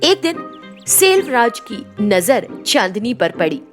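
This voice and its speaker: A woman's voice: on the high side, sing-song, slow pace, soft background music. A woman storyteller reading a scripted moral tale as narrator.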